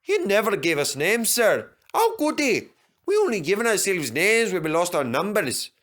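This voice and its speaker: A man's voice: high voice, sing-song, moderate pace, fairly bright tone, pitched above the performer's own voice. A man voicing mischievous twin steam engines in a children's story, with a Scottish accent and a sly, cheerful manner. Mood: innocent.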